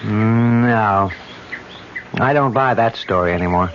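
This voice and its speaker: A confident husband-investigator. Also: male voice, lowish voice, unhurried. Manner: confident, explanatory and affectionate. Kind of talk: 1970s radio drama acting. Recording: muffled old radio recording with hiss.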